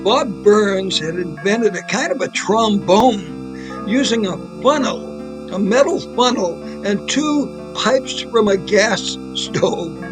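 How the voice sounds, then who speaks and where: A man's voice: high voice, expressive, slow, music in the background, low-quality recording. A man hosting and narrating a scripted trivia segment in a storytelling style. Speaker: producer and host.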